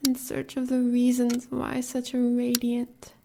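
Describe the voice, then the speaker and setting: An American woman playing a fierce, devoted werewolf huntress consoling her lover in an audio roleplay, solemn and measured, with a breathy voice. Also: on the high side, monotone, dark tone, a little hiss or hum. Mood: sorrowful, consoling. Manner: solemn, measured, poetic delivery.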